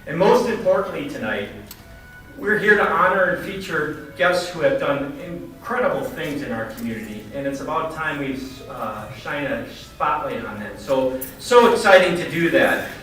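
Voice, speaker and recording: Echoey higher-voiced man hosting an event. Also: lively intonation, moderate pace, sharp tone, faint music behind. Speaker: event host, foundation chair. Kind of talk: welcome speech at a podium, partly read from notes. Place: large echoing indoor banquet venue. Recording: very echoey hall, distant mic, background noise.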